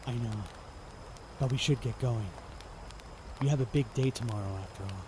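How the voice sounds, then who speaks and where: A man playing a young knight in a fanmade audiodrama, quiet and dutiful, speaking in a subdued, earnest, measured way. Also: mid-range voice, background noise, natural voice. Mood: gentle, caring. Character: young male knight, quiet, burdened, dutiful, gently caring. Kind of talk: audiodrama character acting.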